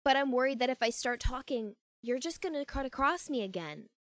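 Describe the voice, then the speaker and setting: A woman plays a young woman with a fairly high voice in a fan audio drama. She is earnest, friendly and newly assertive, talking in an emphatic everyday way. The sound is close and dry. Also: expressive, fairly quick, fairly bright tone, no room sound.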